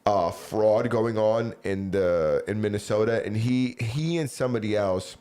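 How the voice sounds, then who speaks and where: A man's voice: on the low side, a little slow, warm tone, clean tone. Profane rambling podcast guy. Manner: loose and profane, rambling with fillers. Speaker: podcast co-host. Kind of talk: casual podcast banter.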